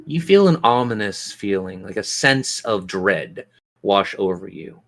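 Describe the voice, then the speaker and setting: A man's voice: on the low side, expressive, measured pace, fairly bright tone. Man running a tabletop fantasy game. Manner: colloquial, addresses players directly. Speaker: game master.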